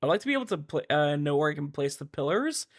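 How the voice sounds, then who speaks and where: A young man livestreaming a game, chatting casually in a rambling, halting way with animated emphasis. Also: a little high. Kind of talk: casual livestream chat over gameplay.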